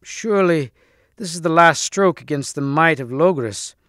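A loyal storybook knight, read by a man, gallant and earnest. The voice is smooth and clear, the wording chivalrous and old-fashioned. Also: a little high, normal speed, fairly bright tone, no room sound, clean studio sound, voice pushed up, natural voice. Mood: earnest, hopeful. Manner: earnest, chivalrous, archaic speech.

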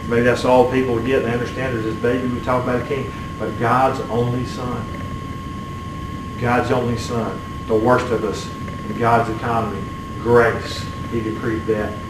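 A middle-aged man, a Baptist preacher speaking earnestly in halting phrases, as in a sermon or prayer. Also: mid-range voice. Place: small church sanctuary, at the pulpit. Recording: roomy, distant mic, steady room hum.

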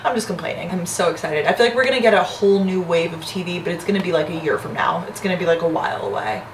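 A woman's voice: fairly low pitch, animated, fast. A young woman in her twenties chatting casually to the camera as a lifestyle vlogger, full of "like" fillers and upbeat asides. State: slightly hoarse. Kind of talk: casual vlog chat to camera. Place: apartment kitchen, indoors. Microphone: camera on counter, some distance. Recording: roomy apartment echo, distant camera mic, background hum.

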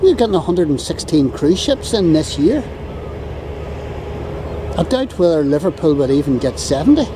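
A Northern Irish man filming a harbour outdoors, ranting sarcastically in an exasperated, drawn-out way. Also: on the high side. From Northern Ireland. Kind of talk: ranting handheld commentary. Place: outdoors on a windy harbour quay. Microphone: camera mic while filming. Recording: outdoor camera audio with steady wind and traffic noise.

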